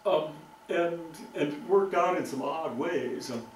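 A man's voice: on the high side, unhurried, recorded from a distance, a little hiss or hum. Older man lecturing in an echoey room. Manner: thoughtful, conversational, with frequent ums. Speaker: guest lecturer. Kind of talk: relaxed lecture talk. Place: meeting room with green walls. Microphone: far camera.

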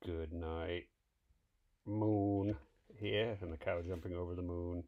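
A man's voice: sits low, steady tone, slow, phone-quality sound, a little hiss or hum. A man reading a picture book aloud to a small child, encouraging and prompting with questions.

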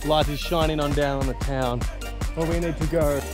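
A man talks casually into a handheld camera outdoors on a mountain trail. His voice sits slightly high, and he jokes sarcastically between steps. Music plays clearly behind him. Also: steady tone, moderate pace. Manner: joking, sarcastic. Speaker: travel vlogger. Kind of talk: casual on-camera chat while hiking.

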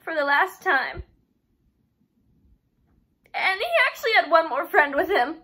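A young woman plays a grieving father facing his son's killer in a dramatic stage monologue. The voice is high and bright, halting and full of emotion, with heavy pauses. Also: animated, full character voice. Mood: tearful, swelling. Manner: halting, emotional, with repeated words and long pauses.

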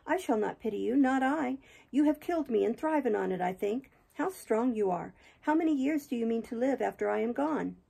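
A woman's voice: animated, fairly quick, gravelly, dark tone, slightly rough, full character voice. A woman voicing a bitter, dying young heroine. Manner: high, imploring, bitter.

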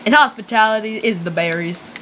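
A scrappy farm hick turned rail rider played by a woman in an amateur radio skit. The voice is bright and forward, folksy and full of old slang, over faint background noise. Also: medium pitch, fairly quick, close to an everyday voice, poor audio. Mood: folksy, cocky. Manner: folksy, ungrammatical, slangy talk.

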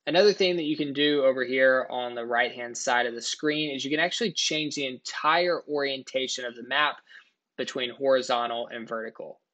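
A man talks through an on-screen tutorial in a relaxed, conversational way, one step at a time. His voice sits at a middle pitch. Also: a little fast. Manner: casual, step-by-step explaining. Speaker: product tutorial presenter. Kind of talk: screen-recording tutorial voice-over.

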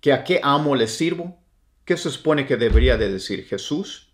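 A man, teaching English phrases with lively hand gestures in a dim indoor room. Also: mid-range voice, brisk, a little hiss or hum. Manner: animated, gestures with his hands. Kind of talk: language lesson, repeating phrases slowly.

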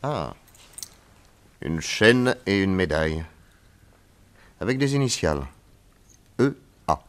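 An actor playing a shrewd, calm male detective who questions witnesses in a measured, probing way, in an old radio drama. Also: low voice, full character voice.

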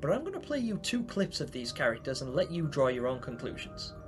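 A man's voice: mid-range voice, sing-song, brisk. A young man hosting a video, giving theatrical, wordy commentary to viewers about game theories. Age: young adult. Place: small home room, indoors. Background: background music.

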